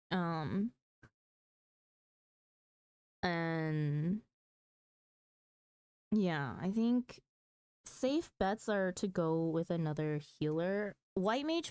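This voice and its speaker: A woman with a low-pitched, smooth voice talks casually as she streams a game. She takes her time, thinking out loud and hesitating as she weighs options. The sound is close and dry. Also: dry recording. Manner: hesitant, thinking aloud, mulling over choices. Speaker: game livestreamer. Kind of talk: casual livestream chat about gaming.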